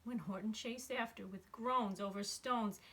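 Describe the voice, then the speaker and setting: A woman's voice: mid-range voice, fairly even intonation, unhurried, fairly bright tone, slightly rough. A woman narrating a rhyming children's storybook expressively. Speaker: storybook reader, school staff member.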